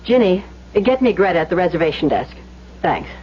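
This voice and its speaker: An actress playing a skeptical, practical woman in a radio drama, briskly questioning. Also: on the low side, very animated, slightly rough. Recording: dull vintage radio, faint hum.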